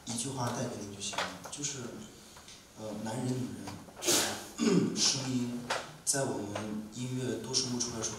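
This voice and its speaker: A man from Inner Mongolia explains music to a seated audience, unhurried and full of small fillers. His voice sits at an ordinary pitch. It is picked up from a distance in a very echoey lecture room with faint background noise. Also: fairly bright tone. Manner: measured explanation with many fillers. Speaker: visiting musician. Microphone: mic held, heard from a distance.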